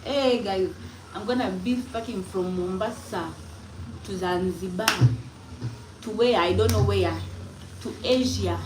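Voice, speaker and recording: A woman's voice: medium pitch, unhurried, long pauses. Defiant Kenyan woman on a phone livestream. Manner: defiant, emphatic, repeats herself. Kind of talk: casual livestream monologue. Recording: echoey room with clear background noise.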